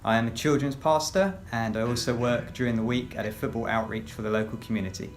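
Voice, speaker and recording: English man speaking in measured tones. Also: mid-range voice, slight background noise. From England, West Sussex. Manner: measured, with frequent fillers. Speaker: children's pastor. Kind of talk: recorded personal update.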